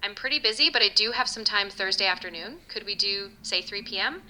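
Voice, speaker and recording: A synthetic voice playing a friendly female boutique owner with a strikingly bright, crisp-edged tone. She is polite, curious and agreeable, chatting conversationally as if taking a sales call. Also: medium pitch, brisk, poor audio. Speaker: AI voice assistant in a sales call role-play. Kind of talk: conversational role-play, playing a prospective customer.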